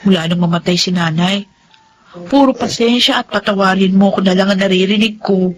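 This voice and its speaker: An actress with a Filipino accent playing a struggling, persevering student and dutiful daughter in an audio drama. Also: female voice, mid-range voice, fairly even intonation, a little fast, faint music behind, natural voice. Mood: frustrated. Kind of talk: Filipino radio-drama style acting.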